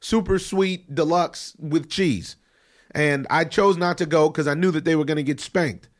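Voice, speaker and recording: Animated man hosting sports talk with a head cold. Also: a little high, moderate pace. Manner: animated, emphatic riffing. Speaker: podcast host. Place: studio in Los Angeles.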